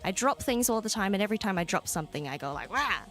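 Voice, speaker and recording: A young Australian woman streamer chatting casually with viewers, laughing often and jumping into high squeals. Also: expressive, fast talker. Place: home room. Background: soft background music.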